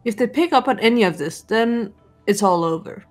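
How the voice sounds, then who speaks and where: A woman playing a composed, serious teenage girl in an anime game fan dub, speaking in a measured, deliberate way. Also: a little high, lively intonation, faint music behind, close to an everyday voice. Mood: calm, cautious. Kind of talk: visual novel fan dub voice acting.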